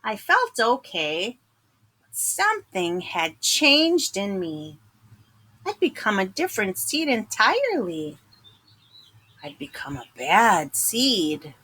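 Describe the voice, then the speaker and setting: A woman voicing a small, glum yet earnest bad sunflower seed in a picture book, confiding and dramatic with drawn-out emphasis. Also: medium pitch, wildly swooping pitch, pushed and bright, slightly rough, full character voice, low-quality recording. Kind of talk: picture book narration in first person.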